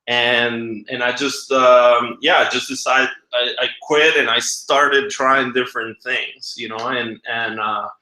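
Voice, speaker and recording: A man's voice: medium pitch, fairly even intonation, takes it slowly, few pauses, sharp tone. A man in his thirties from Guatemala hosting a casual webinar, chatty and slangy in an informal way. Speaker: webinar host and marketing coach. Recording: echoey room.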